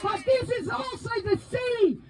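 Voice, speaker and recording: A woman from Palestine, a native Arabic speaker, giving a loud, emphatic, impassioned speech as co-chair at an outdoor protest rally. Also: very high voice, measured pace. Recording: outdoor rally, crowd and faint noise.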